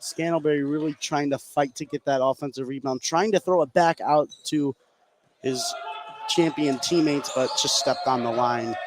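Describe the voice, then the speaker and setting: A man's voice: on the high side, moderate pace. A man giving conversational color commentary and analysis during a live basketball broadcast. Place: indoor basketball gym, courtside broadcast. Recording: gym crowd behind the voice. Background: crowd noise.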